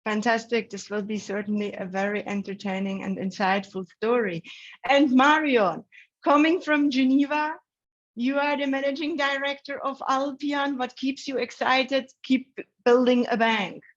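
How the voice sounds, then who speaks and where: Upbeat woman with a slightly high voice, moderating an online panel and introducing each speaker with enthusiasm. The sound is dull and lo-fi, like web-call audio. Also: fairly even intonation, moderate pace. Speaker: webinar moderator.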